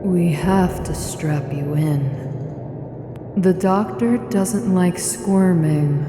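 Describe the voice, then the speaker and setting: A woman voicing a sinister, menacingly calm doll in a horror comic dub. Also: on the low side, extremely slow. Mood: calm menace. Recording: echoey long reverb, music behind.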